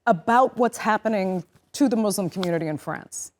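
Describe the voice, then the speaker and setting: A woman hosting a news program, reading a script in a clear, measured way with emphatic openers. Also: mid-range voice. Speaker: news show host.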